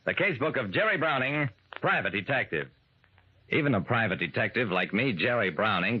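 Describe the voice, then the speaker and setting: An actor with an American accent playing an affable private detective in an old radio drama. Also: male voice, medium pitch, slightly rough, dull audio. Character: affable male private detective narrating his casebook. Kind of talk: 1940s-50s radio acting. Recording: noisy, band-limited old radio recording.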